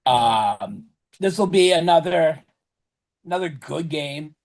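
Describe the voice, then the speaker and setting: A man co-hosting a casual sports podcast, rambling and correcting himself, his voice going a little hoarse. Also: a little high, lively intonation, slow pace, darkish tone. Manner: rambling, self-correcting, chatty. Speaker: podcast co-host.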